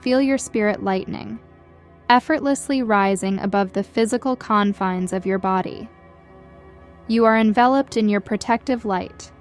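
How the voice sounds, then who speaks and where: Soothing lady doing guided meditation voiceover, mid pitched, slow careful delivery, music playing behind her. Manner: gentle, soothing, measured delivery. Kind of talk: scripted guided meditation narration. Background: background music.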